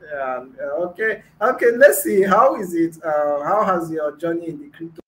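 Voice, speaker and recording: A man's voice: fairly high pitch, expressive, normal speed, fairly bright tone, low-quality recording. A man hosting an online interview, speaking with frequent hesitations and fillers. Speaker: interview host.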